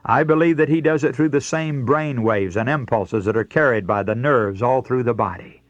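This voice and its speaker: A man's voice: mid-range voice, normal speed, no room sound, lo-fi sound. A man preaching a scripted radio sermon in an earnest, rhetorical way, addressing listeners directly. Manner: earnest, rhetorical, emphatic oratory.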